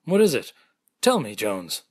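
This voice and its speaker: A man playing an earnest, suspicious hospital doctor in a radio mystery, with a dramatic, deliberate delivery. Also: medium pitch, huge pitch swings, heavy background noise. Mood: urgent, probing. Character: earnest, suspicious male hospital doctor sure of his judgment. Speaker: actor in an amateur audio drama. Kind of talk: remake of classic radio mystery acting.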